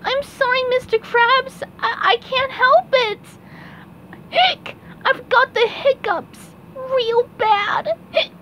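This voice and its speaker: A woman's voice: very high voice, slow pace, bright, forceful tone, full character voice. A woman voicing an eager, anxious young male sea sponge in a hobby cartoon dub, with a whiny, childlike, exclaiming manner. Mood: apologetic, miserable. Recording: muffled, clearly noisy home recording.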